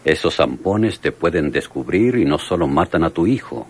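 An actor playing a cautious, grave Mexican police lieutenant in a radio drama, speaking with deliberate authority. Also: male voice, rumbling low, lively intonation, husky, full character voice. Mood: grave, advising.

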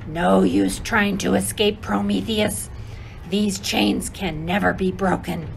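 A woman's voice: medium pitch, steady tone, takes it slowly, gravelly, slight background noise, rough recording. A woman playing a merciless jailer serving a god in a radio play, declaiming menacingly.

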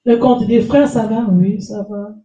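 A woman's voice: fairly high pitch, fairly even intonation, normal speed, mellow, dull audio. A middle-aged woman pastor with a cold, preaching emphatically from a lectern with a handheld mic, repeating phrases and calling for amens. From France. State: has a cold. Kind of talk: sermon. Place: small church hall with stage and lectern. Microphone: handheld mic, far camera. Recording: echoey room, voice from a distance.

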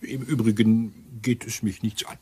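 An actor playing a military man turned amateur sleuth in a radio crime play, very husky, reasoning emphatically and in order. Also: on the low side, fairly even intonation, normal speed, a little mellow. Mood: doubtful, weighing.

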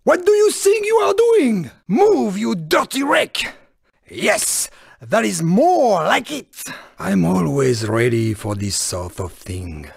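A sneaky, irritable little French car from a video game. The voice is high and gravelly, with a French accent and unhurried pacing. Delivery is haughty, exclamatory and theatrical. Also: male voice, very animated, dry recording, clean studio sound, heavily acted. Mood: angry, scornful. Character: small, irritable, boastful, sneaky French male cartoon car.

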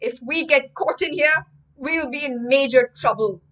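A woman's voice: high-pitched, measured pace, fairly bright tone, far from the mic, pitched above the performer's own voice. A woman voicing a frightened, impatient young girl in a storybook, whiny and pleading. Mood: insistent, upset.

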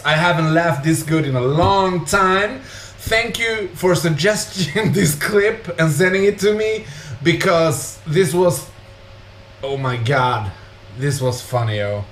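Man in his thirties, slightly high voice, unhurried, crude casual commentary, echoey room. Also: a bit bright. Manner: crude, swearing, amused. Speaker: reaction video host. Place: small home room, indoors. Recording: roomy echo, clear background noise.

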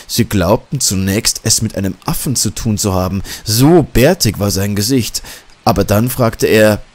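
A man's voice: on the low side, normal speed. A man reading a scripted horror story as an audiobook narrator.

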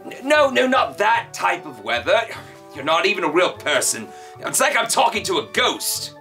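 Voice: mid-range voice, very animated, normal speed, pushed and bright, faint music behind. A performer voicing a goofy young male villain, theatrical and whiny, in an exaggerated cartoon style. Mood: frantic, muttering.

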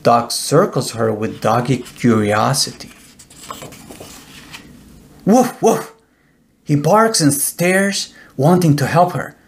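A middle-aged man narrating a children's bedtime story in a deliberate, expressive storytelling way. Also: medium pitch, creaky. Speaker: author reading own children's book.

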